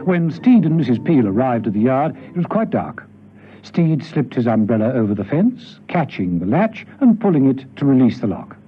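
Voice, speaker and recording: Lively male radio drama narrator over music. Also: medium pitch, moderate pace. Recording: dull radio recording with music. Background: clear music.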